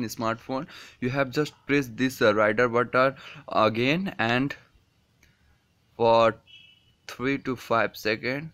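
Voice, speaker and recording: Young man, Nepali accent, slow pace, halting and filler-heavy, mid pitch, casual unscripted vlog review. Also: a bit bright. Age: 20s. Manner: halting, hesitant delivery with frequent fillers. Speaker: vlogger reviewing a product. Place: small furnished room indoors.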